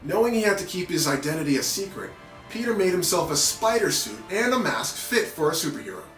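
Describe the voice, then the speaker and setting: A man with a fairly high voice reads a story for children. His narration is animated and playful, recorded in an echoey room with soft music behind it. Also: a little fast. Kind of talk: animated read-aloud narration for kids, with playful asides. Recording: roomy echo with background music.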